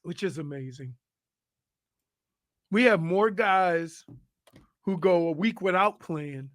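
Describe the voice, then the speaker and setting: A man's voice: sits high, no room sound, studio quality. A man co-hosting a sports radio show, playfully protesting and joking with the others. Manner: playful, protesting, quick to joke. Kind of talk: casual sports radio banter.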